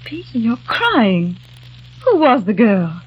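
An actress playing an anxious, caring young fiancée in a 1940s radio drama, speaking in a pleading, breathy way. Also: female voice, a little high, sing-song, slow pace, exaggerated voice. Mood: hurt, worried. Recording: dull lo-fi old radio recording.

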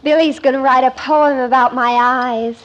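An actress playing a dreamy, lovestruck teenage daughter in a 1950s radio sitcom. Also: female voice, high voice, steady tone, normal speed, highs cut off, lightly acted. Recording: noisy vintage radio recording with clicks.

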